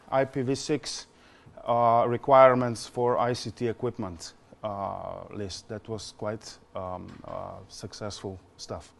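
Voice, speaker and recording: A man in his forties from Slovenia, speaking English with a Slovenian accent as a non-native speaker, giving a conference presentation with many fillers and repeated words. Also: medium pitch.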